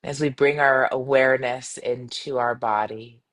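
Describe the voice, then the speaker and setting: Woman guiding a slow, soothing meditation. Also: very deep voice, fairly even intonation. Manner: soothing, unhurried, gently instructing with pauses. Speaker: meditation guide, yoga teacher. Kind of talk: guided meditation.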